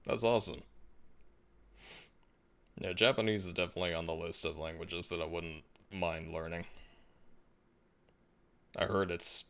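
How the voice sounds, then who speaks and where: Man, very low voice, laid-back, musing, casual stream chat, soft laughs. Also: steady tone, normal speed, creaky, pauses often, smooth. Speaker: livestream host. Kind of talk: casual livestream chat, reading viewer comments.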